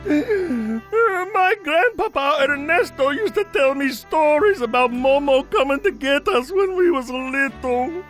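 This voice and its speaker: High swoopy scaredy sidekick, folksy, loyal, slow talker, music underneath. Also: animated, a bit bright, heavily acted. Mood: frightened. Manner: folksy slangy phrasing with some Spanish. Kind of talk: animated cartoon voice acting.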